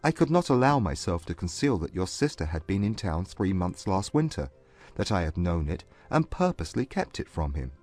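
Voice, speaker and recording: Man acting a proud gentleman humbled by love, low pitch, very varied intonation, formal period speech, audiobook drama. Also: very animated, soft background music. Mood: candid confession. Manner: formal, measured period English. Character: proud, humbled gentleman suitor, earnest and ardent.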